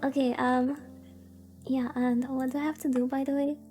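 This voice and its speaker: A woman's voice: sits high, little pitch movement, normal speed, warm tone. A woman streamer chatting casually while playing a visual novel, rambling and playfully thinking aloud over game music. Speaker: VTuber streamer.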